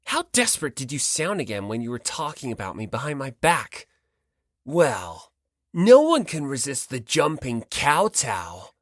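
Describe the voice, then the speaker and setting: A performer voicing a shrewd, smiling teenage boy in an audiobook, calmly arguing and slyly agreeable. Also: medium pitch, unhurried, dry recording, studio quality. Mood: slyly reasoning.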